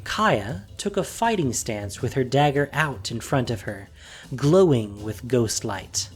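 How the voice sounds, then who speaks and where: A measured fantasy narrator who takes the prose slowly. The delivery is expressive, with a lively, rising and falling pitch. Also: slightly rough, soft background music. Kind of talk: scripted fantasy story narration.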